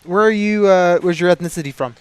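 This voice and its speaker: High-voiced young man hosting. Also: fast talker, smooth, no echo. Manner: laughs often, upbeat. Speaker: host of a coaches show. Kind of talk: casual interview.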